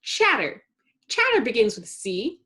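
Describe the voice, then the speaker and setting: A woman's voice: a little high, huge pitch swings, measured pace, sharp tone, full character voice. A woman voicing a tough, competitive little superhero girl from a cartoon storybook, emphatic and taunting. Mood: insistent.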